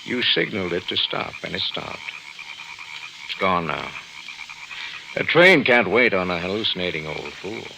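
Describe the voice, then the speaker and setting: A blunt, stern lawman with a somewhat low voice. He reasons coolly and breaks hard news matter-of-factly. The radio mystery acting comes through a noisy vintage broadcast. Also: very animated, creaky, full character voice. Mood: curt, dismissive. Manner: matter-of-fact, measured, stern.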